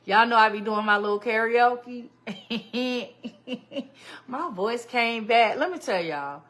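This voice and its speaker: Woman livestream host, slightly high and sharp-toned, loose chatty delivery. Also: slow pace. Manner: chatty and animated, greets viewers by name and laughs often. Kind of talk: casual livestream chat with viewers.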